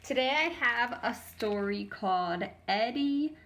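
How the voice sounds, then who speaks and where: A woman's voice: a little high, animated, takes it slowly, fairly bright tone, slight background noise. A woman reading a children's story aloud as a teacher would, narrating expressively for young listeners.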